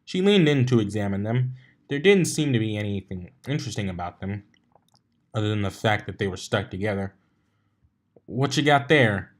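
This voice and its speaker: A man's voice: lowish voice, brisk, a little mellow. A man narrating a fan fiction story aloud in an informal way, stumbling over and rereading lines now and then.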